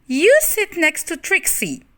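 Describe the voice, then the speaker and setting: A woman voicing an eager, excited little girl playing with her toys in a storybook reading. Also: very high-pitched, slow, voice pushed up, exaggerated voice. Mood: eager, directing. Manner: eager, announcing.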